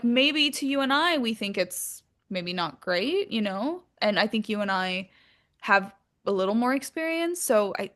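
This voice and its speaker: A woman's voice: mid-range voice, fairly bright tone. A woman chatting casually as an interview guest, candid and informal, swearing freely and using lots of filler likes. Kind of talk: casual chat.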